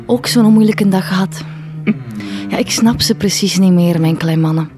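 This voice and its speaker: A woman's voice: mid-range voice, moderate pace, lightly acted. A woman playing a melancholy, weary schoolteacher in a radio drama, sighing and hesitant. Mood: weary, sad. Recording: music behind the voice.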